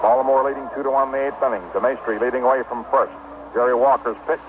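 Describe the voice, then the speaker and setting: A man's voice: medium pitch, steady tone, fast, phone-quality sound, noisy background. A man announcing on baseball radio in a 1960s play-by-play commentary style, on a vintage broadcast. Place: stadium broadcast booth.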